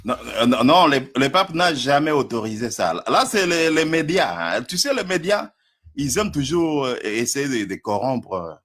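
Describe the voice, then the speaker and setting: This is a man from Congo who speaks with a Congolese French accent. His voice is husky, and his pitch jumps around a lot. He stammers and hesitates as he defends himself in a live online debate, often breaking into a chuckle. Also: sing-song, moderate pace, fairly bright tone.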